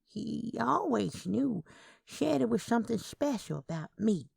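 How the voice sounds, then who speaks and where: A woman voicing a gentle, wistful old aunt in an audio story, with sentimental, drawn-out delivery. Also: on the high side, lively intonation, gravelly, husky, no echo, studio quality, pitched above the performer's own voice, heavily acted. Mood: wistful, defensive.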